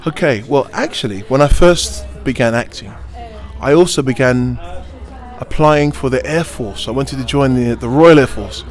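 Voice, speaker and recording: A man's voice: medium pitch, moderate pace. A male pantomime actor answering interview questions in a chatty, rambling storytelling way, with playful asides.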